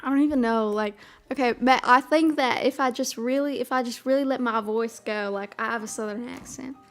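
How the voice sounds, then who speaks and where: A woman's voice: fairly high pitch, fairly even intonation, brisk. A young woman with a mild Midwestern American accent, chatting casually in a bubbly, rambling way. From American South. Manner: bubbly, rambling, lots of "freaking" and "oh my God". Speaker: streamer. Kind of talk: casual chat. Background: soft piano music.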